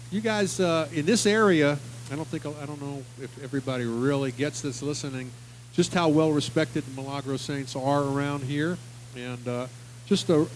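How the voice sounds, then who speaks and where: A New man hosting a casual radio show with a band, his voice sitting slightly high and often trailing into restarts. A faint hum lies underneath, with rain drumming on a tin roof. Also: poor audio. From Long Island, New York. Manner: friendly, rambling, with restarts. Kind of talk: casual interview with a live band.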